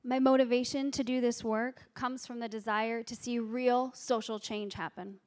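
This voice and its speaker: Purposeful American woman, higher voice. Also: steady tone, normal speed. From United States. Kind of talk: personal introduction at a conference.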